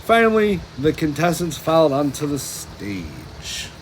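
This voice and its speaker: A man reads a children's storybook in a high, very animated voice, taking his time. He is playful and chatty, dropping in casual asides, over clear background noise. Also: a little slow.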